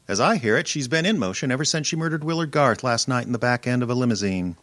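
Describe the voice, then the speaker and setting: A man playing a wisecracking, streetwise detective's legman in old-time radio style, with a slangy, deadpan delivery. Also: medium pitch, fast, no echo, close to an everyday voice. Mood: sardonic. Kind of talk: old-time radio detective acting, first-person narration.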